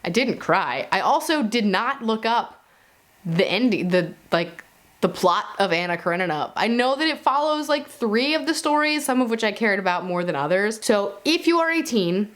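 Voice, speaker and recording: A woman's voice: mid-range voice, animated, moderate pace. A woman giving a casual, chatty book review to camera, informal and conversational, with playful asides and self-corrections.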